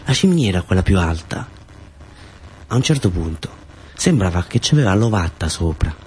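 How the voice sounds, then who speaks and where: A man's voice: deep voice, sing-song, moderate pace, darkish tone, husky. A man with a southern Italian accent telling a first-person theatre story in short phrases with incantatory repetition. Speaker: actor-storyteller.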